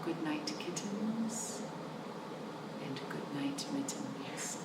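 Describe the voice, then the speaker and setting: A woman hosting storytime for young children, reading aloud in an animated sing-song way with chatty asides to her listeners. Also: sits low, extremely slow. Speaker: children's storytime host at a library. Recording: roomy, distant microphone with noticeable background noise.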